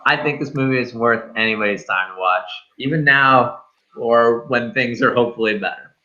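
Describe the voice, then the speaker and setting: A mid-pitched man with a bright, forceful voice. He hosts casually and bluntly, and the recording sounds a little muffled. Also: moderate pace. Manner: blunt, informal, sweary. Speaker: podcast host. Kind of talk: casual banter, movie review chat.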